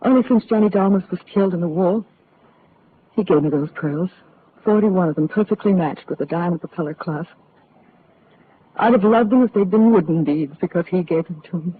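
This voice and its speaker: A woman playing a troubled, wistful married woman in distress, speaking softly and mournfully in 1940s radio acting. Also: on the low side, brisk, close to an everyday voice. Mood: hushed, wistful. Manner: soft, hushed, mournful. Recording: tinny old radio broadcast, faint hiss.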